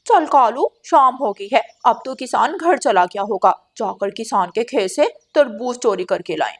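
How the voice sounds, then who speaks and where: A woman's voice: fairly high pitch, expressive, a little slow. A woman voicing a greedy, picky female crow in a cartoon, with a brash delivery. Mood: scheming.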